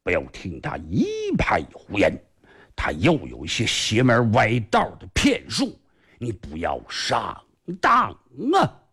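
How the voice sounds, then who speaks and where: A man voicing a stern, loyal male sworn elder brother in a gruff, low, urgent tone. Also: huge pitch swings, slow pace, a bit bright, full character voice.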